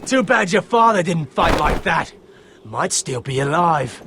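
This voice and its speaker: An actor playing a sadistic, vengeful soldier villain in a video game, sneering and taunting. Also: male voice, a little high, animated, measured pace, gravelly, noisy background. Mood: cruel, gloating. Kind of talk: dramatic game dialogue.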